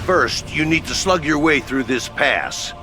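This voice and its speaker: A man's voice: a little high, expressive, unhurried. A man playing a brash, plain-spoken HQ officer in a video game, briefing in a blunt, cocky, colloquial way. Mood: blunt, urgent. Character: brash, plain-spoken male military HQ officer, gung-ho and slangy. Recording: background music under the voice.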